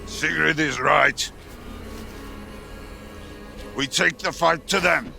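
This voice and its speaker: Slow, gravelly Norse warrior. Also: male voice, on the high side, music in the background, heavily acted. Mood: grim resolve. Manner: deliberate, decisive. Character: grim, resolute male Norse warrior. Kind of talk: dramatic game acting.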